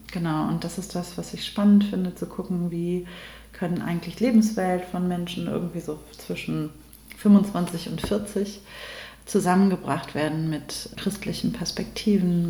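A woman's voice: lowish voice, gravelly, warm tone. A woman in her late thirties, a pastor, speaking reflectively as a guest in a conversational interview. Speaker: guest, Protestant pastor and theologian. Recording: echoey room.

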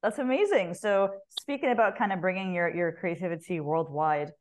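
A woman's voice: fairly low pitch, moderate pace. A woman hosting an interview, responding with short encouraging remarks and asking questions. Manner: encouraging, many short affirmations. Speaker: host.

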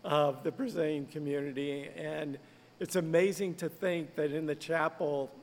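A man's voice: on the high side, steady tone, moderate pace, big-room sound. A man who is a pastor, speaking earnestly and conversationally in a podcast interview. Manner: conversational, earnest, lightly laughing.

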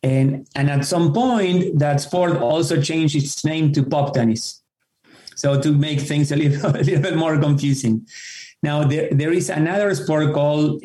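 Mellow man in a roomy echo. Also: mid-range voice. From Argentina. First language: Spanish. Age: middle-aged. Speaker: podcast guest, tennis professional. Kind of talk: casual explanatory interview talk. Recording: roomy, echoing sound.

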